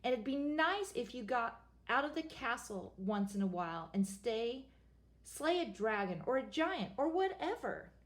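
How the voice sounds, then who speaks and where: A woman's voice: on the high side, very animated, a little slow. A woman voicing a young princess who nags and complains with a whiny tone. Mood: nagging. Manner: complaining, whining.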